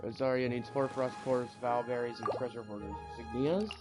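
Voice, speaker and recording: A man's voice: mid-range voice, fairly even intonation, fairly quick. A man doing casual gameplay commentary as a streamer, thinking aloud and muttering through lists that trail off. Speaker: game streamer. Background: game music.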